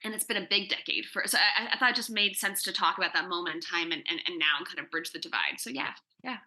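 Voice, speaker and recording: Super bright sharp woman's voice, creator on a panel, chatty, stop-start, repeating words while thinking. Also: lowish voice. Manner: halting, repeats words as she thinks aloud. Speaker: comics creator, panel guest. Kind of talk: casual panel Q&A talk.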